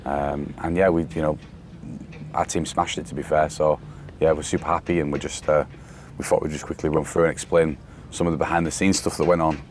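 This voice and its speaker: A man from the UK chatting casually on a podcast, rambling with fillers and restarts, his voice worn from shouting. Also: rumbling low, little pitch movement, fairly quick. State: voice going from shouting. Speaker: podcast host, security company boss. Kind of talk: casual chat. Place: outdoors on a festival site during breakdown. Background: faint site noise and vehicles.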